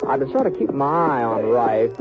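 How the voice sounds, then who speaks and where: Male actor as understated, folksy cowboy peacemaker narrating a trail drive, medium-pitched, vintage muffled western broadcast. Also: heavy background noise, lightly acted. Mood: reflective narration. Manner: folksy, halting, understated drawling talk. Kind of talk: 1950s radio western acting and first-person narration. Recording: dull old radio recording, music behind.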